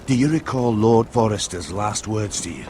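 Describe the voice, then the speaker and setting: An actor playing a grave, devoted older uncle in a medieval fantasy game, speaking in a solemn, formal, measured way. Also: male voice, lowish voice, noisy background, natural voice. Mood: solemn, secretive. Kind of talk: dramatic fantasy game acting.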